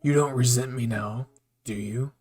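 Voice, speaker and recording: A man's voice: mid-range voice, moderate pace, darkish tone, breathy edge, soft background music, natural voice. A man voicing a stern, blunt male captain who speaks curtly and dryly. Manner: curt and dry.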